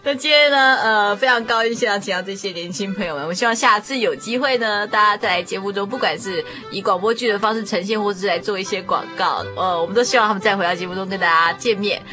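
A woman hosts a radio discussion in a notably bright, forward voice. Faint music plays behind her. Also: medium pitch, quick pace, hardly any pauses. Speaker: radio host. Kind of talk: hosting a studio discussion.

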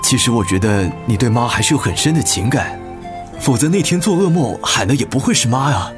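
Music plays under an actor as a middle-aged Taiwanese husband in a radio drama. His voice is mid-pitched and moves widely. He is gentle and apologetic, earnestly pleading with his wife. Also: animated, fairly quick, close to an everyday voice. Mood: earnest, encouraging. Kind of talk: Mandarin radio drama acting.

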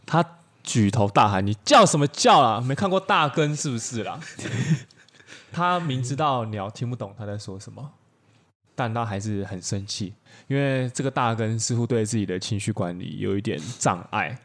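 A man's voice: lowish voice, expressive, normal speed. A man co-hosting a podcast, improvising a story in a playful, theatrical manner and bantering. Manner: theatrical, bursts into shouted character lines.

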